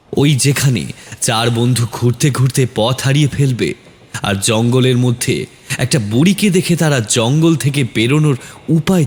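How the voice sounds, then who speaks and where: A man narrating a scripted horror story in a dramatic, suspenseful manner. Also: medium pitch.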